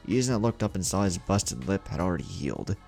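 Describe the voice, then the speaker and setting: A man narrates a scripted story in a deep voice with plenty of gravel. His pitch moves around in an animated way as he reads. Music plays behind him. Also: fast.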